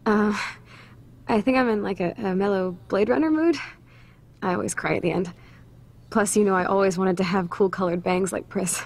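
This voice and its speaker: A woman voicing a wry, teasing punk teenage girl in a video game, with a sardonic, husky edge. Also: mid-range voice, moderate pace, pauses often, darkish tone, natural voice. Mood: mellow.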